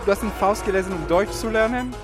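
A man's voice: sits high, quick pace. A man asking casual, probing questions as an interviewer. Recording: loud music behind the voice. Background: loud music.

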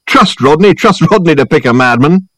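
A man's voice: on the high side, lively intonation, normal speed, no echo. A man voicing a jovial, mocking drinking companion in an audiobook, with a braying delivery. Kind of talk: audiobook character acting.

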